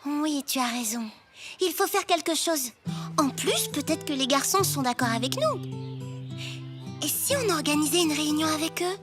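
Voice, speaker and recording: A woman voicing a spirited, sociable schoolgirl in a children's cartoon, with an expressive childlike delivery. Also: sits high, moderate pace. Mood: earnest. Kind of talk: French animated series dubbing. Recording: music behind the voices.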